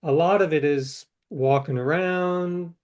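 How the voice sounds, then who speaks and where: A man's voice: a little high, takes it slowly, a little mellow. A middle-aged man, an author being interviewed, telling stories in a thoughtful, meandering way with restarts. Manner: thoughtful, restarts and trails off between ideas. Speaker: interview guest, novelist. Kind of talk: reflective conversational storytelling.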